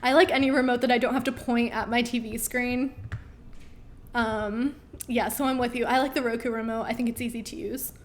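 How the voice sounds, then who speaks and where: A woman in her thirties chats casually through viewer questions on a live stream, upbeat and full of ums. Her voice sits fairly high and is starting to wear out. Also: steady tone, fairly quick, slight background noise. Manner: chatty, upbeat, with frequent ums. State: losing her voice.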